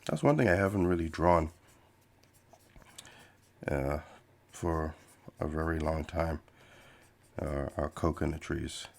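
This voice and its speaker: A man's voice: very deep voice, takes it slowly, gravelly, long pauses, slight background noise. A man from the Bahamas hosting a podcast, chatting casually and rambling while he draws. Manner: meandering, many fillers and restarts, laid-back. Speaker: podcast host and artist.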